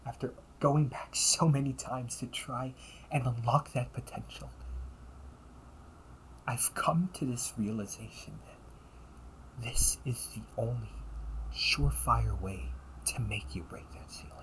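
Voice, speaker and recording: A man playing a villainous older brother, tender, remorseful and obsessively resolved, in a hushed, deliberate audio roleplay monologue. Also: mid-range voice, poor audio. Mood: grave confession. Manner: slow, hushed, often whispering, deliberate pauses.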